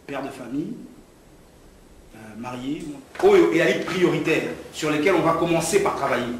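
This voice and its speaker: Middle-aged man from Congo at a press conference, fervent speaker, fairly high voice, recorded far off in a very echoey hall. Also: moderate pace, a little hiss or hum. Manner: rousing, rising to fervent preaching pitch when speaking to the crowd. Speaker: political candidate at a press conference.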